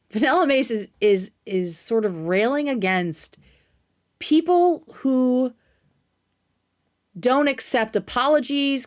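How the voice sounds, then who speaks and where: A woman hosting a casual livestream commentary, speaking in a sarcastic, emphatic way with plenty of slang. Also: medium pitch. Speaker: livestream host.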